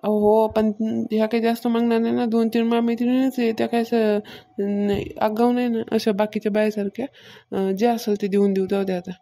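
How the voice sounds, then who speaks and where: Flat-toned bossy village wife, played by a woman. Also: on the high side, a little fast, lightly acted. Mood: businesslike. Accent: rural Maharashtra Marathi. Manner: plain-spoken, chiding. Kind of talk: Marathi comedy cartoon dialogue.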